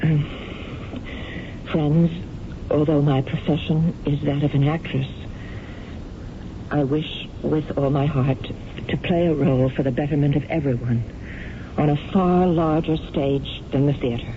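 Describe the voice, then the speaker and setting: An actress playing an idealistic, lovestruck young actress, earnest and heartfelt, in a 1970s radio drama. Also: female voice, sits low, steady tone, measured pace, pauses often. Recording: tinny, hissy old radio broadcast.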